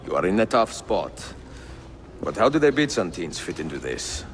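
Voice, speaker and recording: An older man with an Italian accent, playing a wise, weary and resolute aging assassin in a video game, speaking in a calm, measured way. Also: sits low, slight background noise. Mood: guarded, dry. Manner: measured, deliberate. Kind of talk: cinematic game acting, grave and measured. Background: music.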